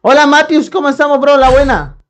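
A man's voice: very high-pitched, a little fast, fairly bright tone, a little hiss or hum. A young Colombian man streaming a game live on a headset mic, greeting viewers by name and calling them brother. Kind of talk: live gameplay stream chat. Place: small room at home.

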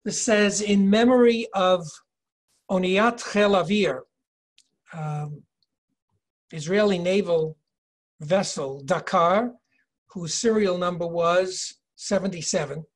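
Older man giving a virtual tour. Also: high voice. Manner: measured, explanatory, reads inscriptions slowly. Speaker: tour guide and educator. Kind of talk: virtual tour lecture over slides. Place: home office, indoors. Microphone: video call webcam.

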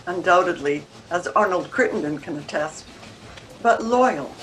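A woman's voice: on the low side, lively intonation, unhurried, fairly bright tone, poor audio. An older woman acting a troubled, independent-minded married lady of the Edwardian era in a radio mystery, with formal, careful diction. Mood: admiring. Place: room at home, video call. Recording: video call with background noise.

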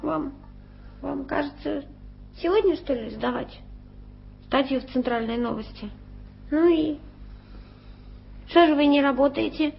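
A proud, touchy young working girl in a radio play, her voice very high with a gritty, creaky edge. She bristles and talks back stubbornly. The old broadcast sound is muffled, with music playing faintly underneath. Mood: indignant. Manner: touchy, stubborn, quick to take offence. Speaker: title character in a radio play. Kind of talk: 1980s radio drama acting. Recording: lo-fi archival radio recording with music.

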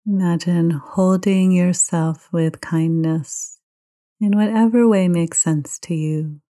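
A woman guides a meditation in a low, soft-toned and mellow voice. She takes her time, speaking very slowly. Her manner is gentle and soothing throughout. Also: fairly even intonation. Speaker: meditation teacher.

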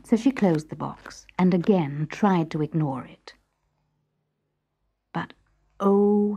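A woman reading a scripted children's story in an expressive, deliberate manner, hushed at times. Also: on the low side, husky, highs cut off. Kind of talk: scripted dramatic story narration.

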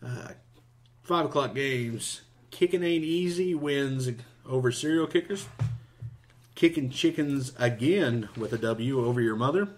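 A man's voice: medium pitch, a little slow, soft background music. A man in a small home studio, talking into headphones and a mic as he reads out game results. He jokes his way through the list and laughs often. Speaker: podcast co-host. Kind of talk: casual chat reading game results.

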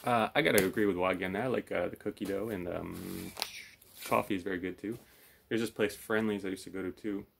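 A man's voice: low-pitched, fairly even intonation, brisk, vocal fry, fairly bright tone, slight background noise. A man in his thirties hosts a homemade puppet show in an easygoing, improvised way. He talks casually to the camera from a small room, playing the straight man. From lives in Florida, United States. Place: small home room, indoors.

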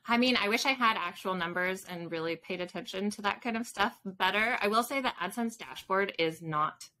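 A woman's voice: mid-range voice, moderate pace, sharp tone, poor audio. A woman in her thirties talking as an interview guest in a casual, informal, explanatory way, on a video call from home. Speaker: podcast guest, author. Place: small room at home with bookshelves, video call. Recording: faint background noise.

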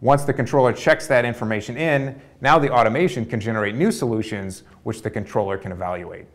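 A man's voice: medium pitch, fairly quick. A young man giving a fluent, orderly walkthrough of a prototype. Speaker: researcher demonstrating a prototype. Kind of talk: product demo narration. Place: dark studio with display screens.

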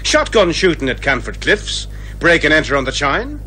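Swooping, excitable Irish police inspector. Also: male voice, fairly high pitch, moderate pace, a little hiss or hum. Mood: harried. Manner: garrulous, excitable. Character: jovial, boastful Irish male police inspector. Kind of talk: radio detective comedy acting.

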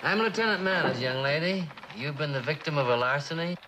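An actor playing a wry, unhurried police lieutenant in an old television drama, dry and teasing. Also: male voice, on the high side, natural voice. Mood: probing. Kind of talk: 1960s television drama acting. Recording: faintly noisy old TV soundtrack.

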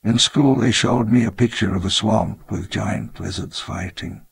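A gravelly, wistful old founder. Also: male voice, lowish voice, heavily acted. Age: older. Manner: slow, wistful reminiscing.

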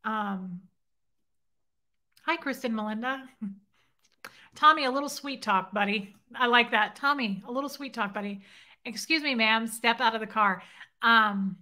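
Bright-voiced woman hosting a playful livestream. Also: on the high side, unhurried, long pauses. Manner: playful, teasing, flirty banter. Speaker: livestream host. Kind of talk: casual livestream chat reading comments. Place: home living room, indoors. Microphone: webcam at desk.

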